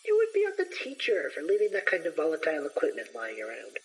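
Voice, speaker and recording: A woman playing an excitable young male student adventurer, breezy and showy, in an audio drama. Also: deep voice, fast talker, husky, full character voice, poor audio. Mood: eager, persuasive.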